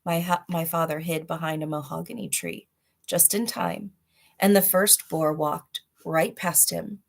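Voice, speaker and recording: A woman narrating a children's story in an expressive read-aloud style. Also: sits low, a little slow, creaky. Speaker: storybook reader.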